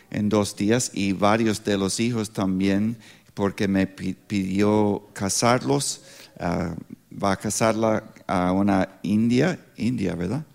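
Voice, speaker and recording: A man in his sixties with an American accent, a non-native speaker with English as first language, preaching informally as a missionary in halting speech with grammatical slips. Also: on the low side, creaky, darkish tone. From Pittsburgh, United States. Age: 60s. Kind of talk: sermon with informal introduction.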